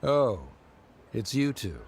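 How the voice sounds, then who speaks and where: A man's voice: mid-range voice, animated, slow, a little hiss or hum. A man voicing a proud, awkward middle-aged scholar father in a video game, with stiff, formal phrasing. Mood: formal, composed.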